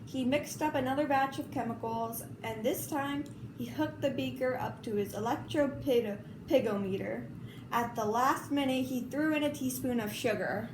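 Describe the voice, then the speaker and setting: A woman reading a children's story aloud in an expressive, informal way. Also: high-pitched, moderate pace. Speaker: storybook reader. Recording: roomy, clearly noisy home recording.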